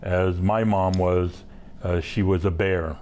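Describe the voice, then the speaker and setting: Male guest from Southeast Alaska, deep and slow, relaxed storytelling. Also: a little hiss or hum. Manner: slow, unhurried, halting with many fillers. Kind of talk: casual interview storytelling.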